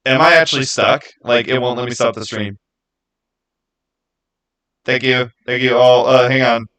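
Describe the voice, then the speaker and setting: A man chatting casually to viewers as a livestream host, earnest and rambling, with halting starts and repeated phrases. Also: medium pitch, steady tone, a bit bright. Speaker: streamer, channel host.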